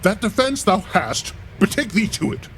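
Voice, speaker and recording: An actor playing a blustering, mischievous knight with a mock-grave manner in a Shakespearean audio drama. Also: male voice, high-pitched, big pitch swings, normal speed, fairly bright tone, slight background noise, heavily acted. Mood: mock menace.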